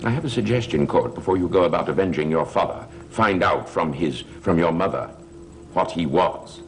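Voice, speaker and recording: A man's voice: sits low, lively intonation, normal speed. An actor playing a suspicious, sardonic stepfather with formal, drily sarcastic speech in a gothic soap opera. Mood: wary, accusing. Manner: dry sarcasm, formal wording. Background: music.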